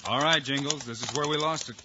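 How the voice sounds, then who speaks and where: An actor playing a calm, confident cowboy marshal hero in an old radio western, speaking coolly and with assurance. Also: male voice, mid-range voice, quick pace, close to an everyday voice. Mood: confident, determined. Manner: cool, clipped, assured Western talk. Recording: muffled, hissy old radio recording with clicks.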